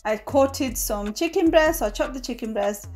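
A woman giving a relaxed, chatty voice-over of her home cooking, with fillers now and then. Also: high-pitched, moderate pace, soft background music. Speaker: cooking vlogger. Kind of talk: casual voice-over narration.

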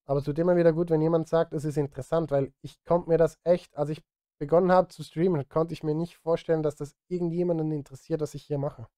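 Guy streaming music work, kinda high but soft mellow voice, dry close sound, talks right to chat. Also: steady tone, quick pace, no echo. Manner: self-deprecating, addresses viewers directly. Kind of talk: casual livestream chat while working. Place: indoor home studio.

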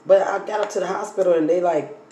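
A woman in her thirties with a slightly hoarse voice, chatting casually to her viewers while unpacking and eating a takeout meal at her table. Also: lowish voice, fast talker, dark tone. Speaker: vlogger. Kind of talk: casual mukbang storytime chat. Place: dining table in a home room. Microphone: phone camera on the table. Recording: echoey room with faint noise.

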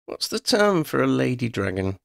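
A man's voice: mid-range voice, big pitch swings, normal speed, vocal fry, fairly bright tone, no echo, studio quality, pitched above the performer's own voice, heavily acted. A man reading a story, voicing a young woman heroine in a raised, defiant voice. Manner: indignant, challenging.

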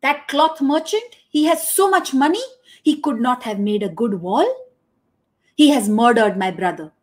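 A woman's voice: a little high, expressive, a little slow, pitched above the performer's own voice. A woman doing an indignant thief's brother. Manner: indignant, demanding. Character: aggrieved male thief's brother.

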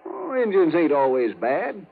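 An American actor playing a folksy, talkative buffalo hunter with rustic frontier speech in a 1950s radio western. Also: male voice, fairly high pitch, sing-song, unhurried, gravelly, heavily acted. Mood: chatty. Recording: tinny, noisy 1950s radio recording.

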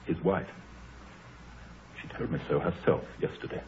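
An actor playing a troubled, anxious country gentleman, grave, hesitant and formal, in a 1930s radio drama. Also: deep voice, steady tone, measured pace. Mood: anguished. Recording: muffled, noisy 1930s radio recording.

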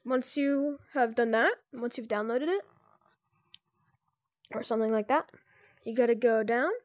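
A speaker giving informal, improvised step-by-step instructions in a casual screen-recorded software tutorial. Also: medium pitch, unhurried, no echo, studio quality. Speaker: tutorial presenter.

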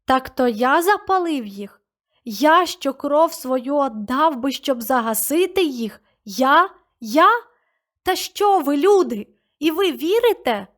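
A woman plays a desperate young male stranger in a spoken story, pleading and anguished. The voice is fairly high and keeps breaking into raw, loud outbursts. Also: measured pace, close to an everyday voice.

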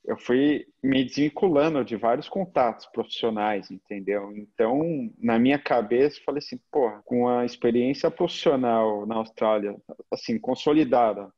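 A man's voice: medium pitch, normal speed. A man in his thirties with a São Paulo Brazilian accent, telling stories informally as an interview guest, with slangy phrasing and frequent tag questions. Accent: São Paulo Brazilian Portuguese. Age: 30s. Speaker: interview guest, agency founder. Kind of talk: casual anecdotal chat. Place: indoor room at home, video call. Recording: slightly dull video-call audio.

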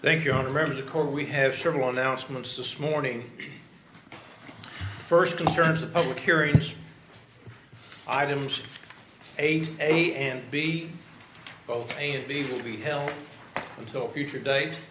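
A man gives agenda announcements to the court in a formal, orderly way. His voice echoes around a large room over a clear, steady background hiss. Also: mid-range voice, unhurried, long pauses. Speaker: county administrator. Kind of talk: reading meeting announcements. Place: large county courtroom, indoors.

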